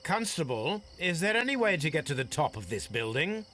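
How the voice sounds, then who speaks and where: A man playing a calm, confident Victorian gentleman detective in a video game, with a British accent and a precise, formal manner. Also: fairly high pitch, brisk, slight background noise. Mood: brisk, assured. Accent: British English.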